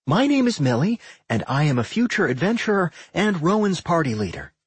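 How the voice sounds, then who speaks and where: A cheerful girl adventurer, voiced by a male narrator, raised in pitch and proudly formal. She is a bossy party leader happily declaring herself in a fantasy storybook. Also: big pitch swings, a little fast, husky, no echo, full character voice. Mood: proud, happy.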